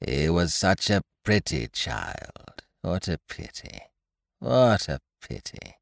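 A man's voice: rumbling low, big pitch swings, takes it slowly, gravelly, fairly bright tone, no room sound, clean studio sound, voice pushed down, full character voice. A man doing a sighing, mournful old woman. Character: elderly mother, mournful, sighing.

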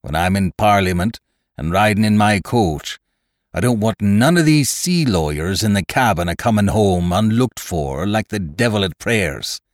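A man's voice: lowish voice, animated, moderate pace, dry recording, studio quality, lightly acted. A man doing a cunning old pirate cook. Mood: scheming. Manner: gruff, cunning, persuasive. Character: scheming one-legged pirate cook, old sea dog. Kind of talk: audiobook character voice.

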